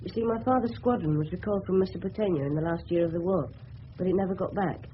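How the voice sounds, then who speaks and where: An actor playing an earnest, polite, slightly hesitant teenage English schoolboy in a 1970s radio drama. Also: male voice, sits high, fast talker, lightly acted. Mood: earnest, careful. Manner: polite, hesitant, well-spoken. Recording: muffled, noisy old radio broadcast recording.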